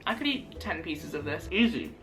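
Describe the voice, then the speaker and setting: Late-teen male YouTuber, native Japanese speaker, rambling carefully enunciated chat; echoey kitchen, soft music underneath. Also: fairly high pitch, very animated, normal speed. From United States. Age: late teens. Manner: rambling, carefully articulated words. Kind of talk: casual baking vlog chat. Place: home kitchen.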